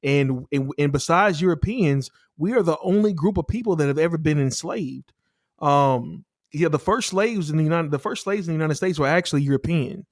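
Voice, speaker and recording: A man's voice: fairly high pitch, normal speed, dry recording, studio quality. A man hosting a casual interview, laid-back and leaning on fillers as he asks questions. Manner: laid-back, leans on "you know" and "like". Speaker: show host.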